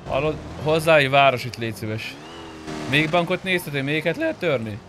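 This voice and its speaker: A man's voice: fairly high pitch, animated, a bit bright, a little hiss or hum. A man chatting casually while roleplaying in an online game. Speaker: gamer in a multiplayer roleplay server.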